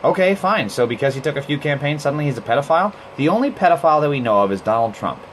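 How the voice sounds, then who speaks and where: A man's voice: on the high side, big pitch swings, very fast, a little hiss or hum. A man from Costa Rica hosting a live stream, talking bluntly and heatedly in a casual monologue, swearing freely and often restarting his sentences.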